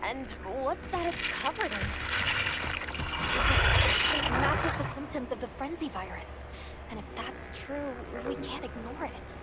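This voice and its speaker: An actress playing an earnest young guild field handler in a video game, brisk, precise and professional. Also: female voice, sits high, heavy background noise. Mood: alert, concerned. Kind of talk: video game cutscene acting.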